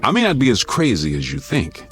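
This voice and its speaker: Very animated wry American doctor. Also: male voice, medium pitch, a little fast, loud music behind the voice. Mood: wry, heartfelt. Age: middle-aged. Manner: mock-grumpy, emphatic. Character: proud, wry middle-aged doctor, gruffly affectionate. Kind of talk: radio drama acting.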